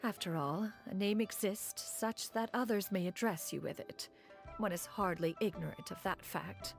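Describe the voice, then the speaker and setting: A woman's voice: mid-range voice, moderate pace, creaky, faint music behind, natural voice. A woman playing a proud, refined, ancient immortal in a video game, with haughty, formal and archaic speech. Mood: reluctant, dignified. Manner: haughty, archaic, formal, calls herself "one". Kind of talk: video game character dialogue.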